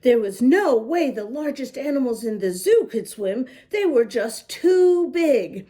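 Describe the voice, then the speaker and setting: High-voiced woman reading a storybook, very animated. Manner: animated, deliberate, with asides to little listeners. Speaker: children's picture book reader. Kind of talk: expressive read-aloud storytelling for young children.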